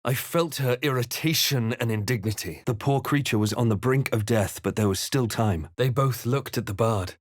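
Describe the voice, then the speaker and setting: An actor playing a solemn adventurer who recounts a dark fantasy journey in measured, literary game narration. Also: male voice, medium pitch, no echo, studio quality, lightly acted. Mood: grave. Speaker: narrating player character. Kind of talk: scripted first-person fantasy game narration.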